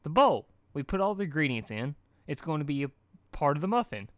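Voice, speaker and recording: A man's voice: fairly high pitch, sing-song, brisk, fairly bright tone, no echo. A man voicing a scheming female party pony from a cartoon, with smirking mock cheer. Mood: deadpan.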